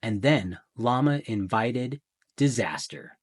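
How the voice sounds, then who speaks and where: A man reading a children's picture book aloud in a theatrical way, with deliberate pauses and emphasis. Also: medium pitch, expressive. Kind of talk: dramatic children's storybook narration.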